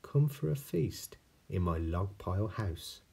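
A man's voice: low voice, sing-song, measured pace, creaky, mellow, breathy edge, heavily acted. A man voicing a sly, easily scared snake in a storybook, emphatic and exclamatory. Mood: inviting, curious.

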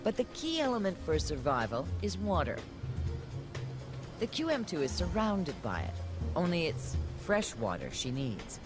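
A man doing measured, scripted narration for a documentary voice-over. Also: on the high side, wildly swooping pitch. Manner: measured, explanatory. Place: studio voice-over. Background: music.

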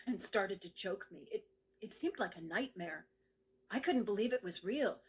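A woman acting out a trusting, wounded wife in a recited dramatic poem. Her voice sits at a medium pitch, with a confiding, first-person delivery. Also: breathy edge. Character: trusting, wounded woman excusing an abusive partner.

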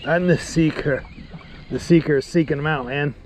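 A middle-aged man giving excited casual commentary while fishing from a small boat at sea, recorded on a head-mounted action camera. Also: fairly high pitch, moderate pace. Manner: excitable, cheers and shouts "bam" at catches. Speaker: angler vlogger. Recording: loud wind and boat noise.

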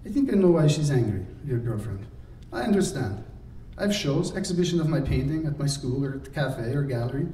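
A man voicing an intense young woman painter who speaks breathy, halting, broken English, in an audiobook recorded in a roomy space from a distance. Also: medium pitch, animated, warm tone, natural voice. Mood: aggrieved. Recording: roomy, distant, faint hiss.

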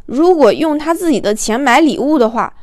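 A woman narrating a comic romance novel as an audiobook in an expressive, colloquial storytelling manner. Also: high-pitched, fairly quick, no room sound, studio quality.

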